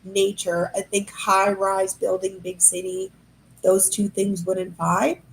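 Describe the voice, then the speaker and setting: A young adult woman with a gravelly, creaky edge and a nasal, cold-stuffed voice hosts a casual livestream. She rambles cheerfully and amuses herself as she talks to her viewers from an apartment. There is a faint hiss of noise under her voice. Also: medium pitch, little pitch movement, takes it slowly, rough recording. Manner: chatty, rambling, self-amused. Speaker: podcast host. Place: high-rise apartment indoors.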